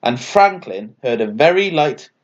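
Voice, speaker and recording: Animated man reading a children's story. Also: medium pitch, unhurried, dull audio. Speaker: author and storyteller. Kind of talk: scripted children's bedtime story narration.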